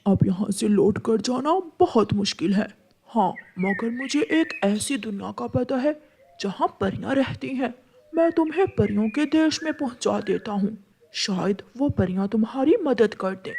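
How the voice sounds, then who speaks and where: Gentle cartoon cloud uncle, lowered from the narrator's pitch, a woman puts him on. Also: lively intonation, normal speed, gravelly, a little hiss or hum. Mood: kindly. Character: kind male cloud uncle, calm.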